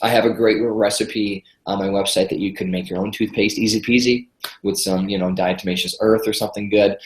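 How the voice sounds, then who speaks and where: A man's voice: fairly low pitch, fairly even intonation, a little fast, echoey room. A congested man from Michigan, a guest health expert chatting enthusiastically in a podcast interview. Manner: chatty, enthusiastic, repeats words when starting sentences.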